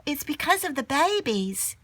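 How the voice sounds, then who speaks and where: A woman's voice: high-pitched, very animated, moderate pace, a bit bright, pitched above the performer's own voice. A woman voicing a helpful, knowing young schoolgirl in a storybook, earnest and caring. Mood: earnest, informative. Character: helpful, knowing schoolgirl classmate, concerned for baby animals.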